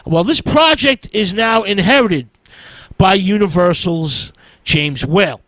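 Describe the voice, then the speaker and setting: A man with a noticeably high-pitched voice presents like a history show host. He speaks in short, halting chunks, informally lecturing as if from notes. The sound is close and dry, with very little room in it. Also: lively intonation, a bit bright, no echo, low-quality recording. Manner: halting, choppy delivery in short phrases.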